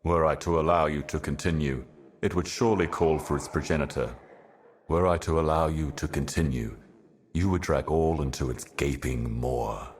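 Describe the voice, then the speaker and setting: The Envoy, profoundly deep ancient cosmic herald. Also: male voice, breathy edge, heavily acted. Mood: stern warning. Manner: solemn, portentous, measured oratory. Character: The Envoy, ancient cryptic otherworldly being warning of doom. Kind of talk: theatrical fantasy game monologue.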